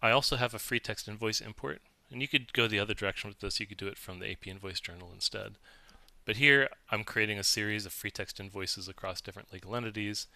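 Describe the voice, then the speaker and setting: A man giving a methodical, explanatory walkthrough as a software demo presenter, recorded close on the mic. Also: lowish voice, vocal fry, no echo. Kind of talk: instructional screen-share walkthrough, semi-scripted.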